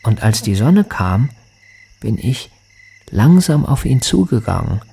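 An actor playing a lonely, grieving man in a radio drama, speaking a hushed, wistful poetic monologue to his lost beloved. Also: lowish voice, very animated, slow, vocal fry, breathy edge, exaggerated voice. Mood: wistful, quiet.

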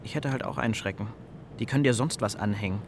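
A man's voice: on the low side, fast talker, background noise, lightly acted. An actor in a radio play as a sceptical young man who speaks with flippant, questioning irony. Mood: flippant, musing. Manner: questioning, ironic. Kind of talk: German radio play dialogue. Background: music.

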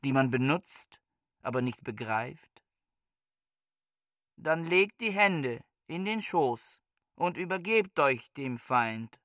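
An actor in a German radio play playing a fanatical, bookish scholar who speaks in solemn, pompous oratory. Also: male voice, medium pitch, lively intonation, takes it slowly, long pauses, a bit bright, dry recording, clean studio sound. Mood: rousing, martial. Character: fanatical bookish male scholar haranguing his books like troops.

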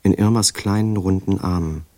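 An actor playing an intent young writer, husky and measured, in a literary audio drama. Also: male voice, low voice, warm tone, dry recording, close to an everyday voice. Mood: reflective. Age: young adult.

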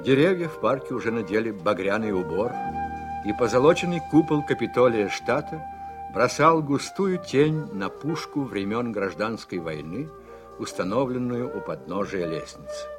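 A man with a husky voice narrating a radio play in a dramatic, scripted storytelling style. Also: mid-range voice, big pitch swings, moderate pace, over music.

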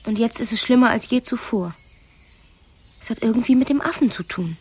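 Husky, intimate troubled wife. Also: female voice, on the high side, lively intonation, brisk. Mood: uneasy, confiding. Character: troubled, determined wife, intimate and quietly defiant. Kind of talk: German radio play acting.

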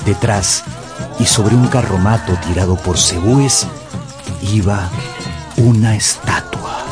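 A man narrating a radio drama in Spanish with deliberate, suspenseful storytelling. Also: fairly low pitch. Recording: music behind the voice.

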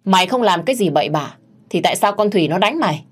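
A woman's voice: on the low side, fast talker, darkish tone, lightly acted. A woman voicing a domineering older mistress of the house in an audio story, scolding and barking orders. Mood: angry, accusing.

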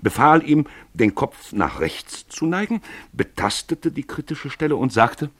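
A man's voice: a little high, sing-song, a little slow, fairly bright tone, no echo. A man narrating a literary radio drama from a script, with a dramatic storytelling manner.

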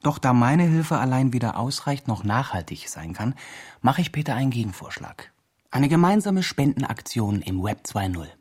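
A man's voice: mid-range voice, very animated, moderate pace, breathy edge. An actor playing an earnest fundraiser, narrating his good deed in tidy, formal sentences in a radio play. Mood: earnest, helpful. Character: earnest socially engaged male fundraiser telling his story. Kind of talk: scripted first-person radio play narration.

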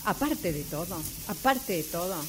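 A woman's voice: on the low side, expressive, moderate pace, lightly acted. A woman with an Argentine accent playing a fed-up, complaining travel companion in an amateur radio drama. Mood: indignant, fed up. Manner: complaining, repetitive, indignant. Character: exasperated, hungry, freezing woman traveling companion. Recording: noisy recording with hissy ambience.

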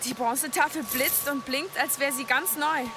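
Earnest, high-voiced young woman, played by an actress. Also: steady tone, moderate pace, lightly acted. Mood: brisk, practical. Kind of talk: German radio drama acting. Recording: clear ambient noise behind.

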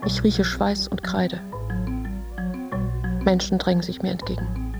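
An actress playing a dazed, haunted woman recounting nightmarish wartime visions in a slow, detached, dreamlike monologue. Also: fairly low pitch, completely flat delivery, gravelly, over music. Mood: dazed, uneasy. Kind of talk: literary audio drama monologue.

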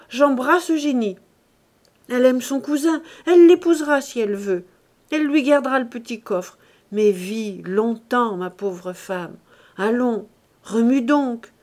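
A woman's voice: sits high, darkish tone. A miserly old cooper from a classic novel , played by a woman narrator. He blusters and cajoles his family in exclamatory bursts, wheedling one phrase after another.

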